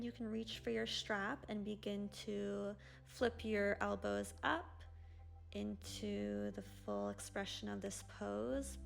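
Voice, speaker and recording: A young woman teaching a yoga stretch class in a soft, sleepy, gentle voice, recorded by a camera across a small room. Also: medium pitch, vocal fry. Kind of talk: guided yoga class instruction. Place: small pink-lit room, indoors.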